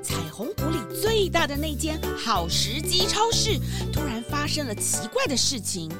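A woman's voice: high voice, very animated, normal speed, over loud music. A woman with a Taiwanese Mandarin accent narrating a scripted story for children.

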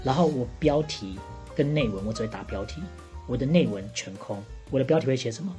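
A woman's voice: extremely low pitch, expressive, a little fast, mellow, soft background music. A woman with a Taiwanese Mandarin accent co-hosting a casual podcast chat in a teasing, assertive way. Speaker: podcast co-host playing bartender.

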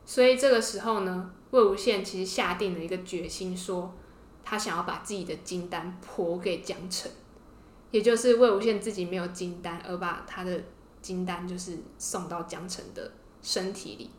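A woman's voice: fairly low pitch, steady tone, normal speed, slight background noise. A woman with a Taiwanese Mandarin accent hosting a podcast, telling and explaining a story in a casual way. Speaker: podcast host. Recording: roomy, echoing podcast room.

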